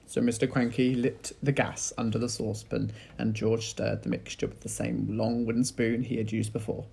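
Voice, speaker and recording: A man with an English accent reading a children's story aloud like a teacher, in animated narration. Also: mid-range voice, normal speed, vocal fry, slightly rough. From England.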